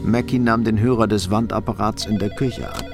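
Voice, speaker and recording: A man's voice: fairly low pitch, fairly even intonation, a little fast, creaky. Male crime narrator over prominent music. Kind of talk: scripted crime drama narration.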